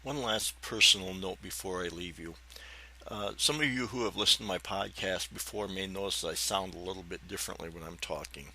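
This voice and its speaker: A man's voice: low voice, brisk, sharp tone, rough recording. An American man around fifty with a slight whistling lisp, telling personal memories in a folksy, rambling, hesitant way as a podcast host. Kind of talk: reminiscing personal storytelling.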